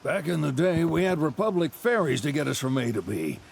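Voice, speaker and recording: Game role: chipper elderly ranch grandpa, Southern US drawl, creaky high-set voice, showy pitchman. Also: sing-song, a little fast, a little hiss or hum. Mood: hospitable, chatty. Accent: American Southern. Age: older. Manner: folksy, showy salesman patter. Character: folksy, chipper old male chocobo rancher and grandfather. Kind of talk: video game cutscene acting.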